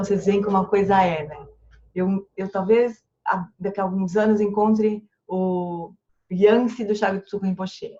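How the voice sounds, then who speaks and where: A woman leading an online dharma study group, slowly chanting long-life prayers and then telling stories in a lively, affectionate way. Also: fairly low pitch. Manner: slow recited chants and warm animated storytelling. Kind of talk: chanting prayers and informal teaching. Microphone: online call microphone. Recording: slightly muffled lo-fi sound.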